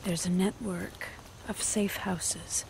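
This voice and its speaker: An actress playing a cautious, brisk handmaid who is secretly a rebel, in an audio drama. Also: female voice, lowish voice, steady tone, background noise. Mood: conspiratorial.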